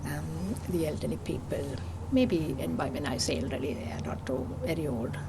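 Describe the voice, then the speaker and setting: Soft-spoken gravelly woman, noisy street. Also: low voice, moderate pace. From Malaysia. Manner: soft-spoken, hesitant with many ums. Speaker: librarian. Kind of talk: documentary interview.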